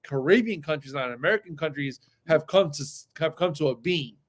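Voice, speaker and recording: A man's voice: fairly high pitch, a little fast. A man in a casual discussion show who speaks earnestly, repeats phrases and keeps checking in with "right?". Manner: earnest, repeats himself, many "right?" tags.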